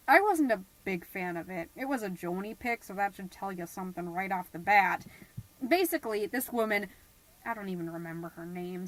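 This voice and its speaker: A woman's voice: medium pitch, lightly acted, low-quality recording. A woman playing a chatty, fussy middle-aged suburban mom hosting an amateur podcast, folksy and passive-aggressive in a scripted comedic monologue. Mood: disapproving, exasperated. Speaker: podcast host.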